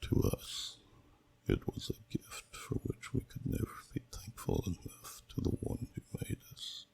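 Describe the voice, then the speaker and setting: A man voicing an ancient, solemn dragon who slowly tells a story in a deep, gravelly, breathy half-whisper, in ASMR style. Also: a little mellow, close to an everyday voice, rough recording. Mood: wistful, reverent. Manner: slow, solemn, deliberate, archaic phrasing. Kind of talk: ASMR storytelling, scripted narration.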